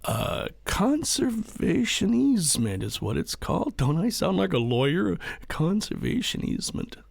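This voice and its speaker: A man's voice: high voice, sing-song, measured pace, gravelly, no room sound, full character voice. A man playing a brash, hard-drinking ranch owner in an audio drama, blustery, folksy and defiant. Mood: boastful, tipsy. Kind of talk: full-cast audio drama acting.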